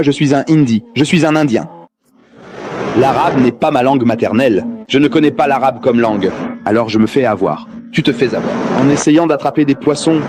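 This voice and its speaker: A man's voice: mid-range voice, noisy background. A man giving a public lecture on religion, asking the audience rhetorical questions and joking as he goes.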